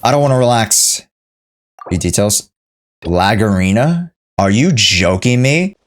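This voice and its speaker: A woman's voice: extremely low pitch, animated, a little slow, a little hiss or hum. A woman streaming a game, chatting casually with viewers and playfully reacting to and echoing the dialogue. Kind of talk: casual livestream reaction and chat.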